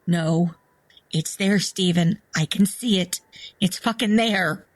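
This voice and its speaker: Slow, eerie, frightened wife acted by a woman. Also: lowish voice. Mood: frightened, defiant. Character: frightened, defiant wife with eerie obsession, horror story.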